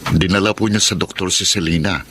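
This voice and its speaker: A man's voice: low voice, fairly even intonation, fairly quick, a bit bright, a little hiss or hum. A man acting a caring, supportive husband and uncle in a Tagalog radio drama. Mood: concerned.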